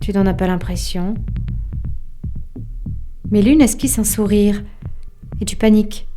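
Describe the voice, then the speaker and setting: A woman's voice: medium pitch, unhurried, warm tone, faint music behind, lightly acted. A woman performing as an intimate storyteller, narrating an audio drama in a literary second-person style. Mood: anxious, hushed. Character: intimate storyteller addressing an anxious housewife.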